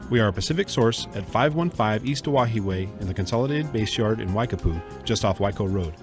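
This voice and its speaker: A man's voice: on the low side, lively intonation, fairly quick. Male ad announcer over music. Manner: smooth promotional delivery. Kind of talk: scripted radio ad read. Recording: radio ad with music bed.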